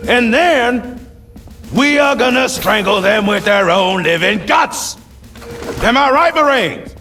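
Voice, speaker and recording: A man's voice: sits high, lively intonation, takes it slowly, sharp tone, full character voice. A man acting a gung-ho, bellicose Marine sergeant in a video game, barking with swagger at his troops. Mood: fierce, bellicose. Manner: barking, swaggering drill-sergeant delivery with emphatic punches. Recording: game cinematic with music behind. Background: music.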